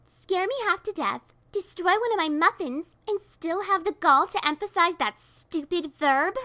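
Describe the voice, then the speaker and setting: A woman's voice: on the high side, slow. A woman voicing a grumpy, easily riled gray pegasus mare with an exasperated, fuming manner. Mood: furious. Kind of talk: audiobook character reading.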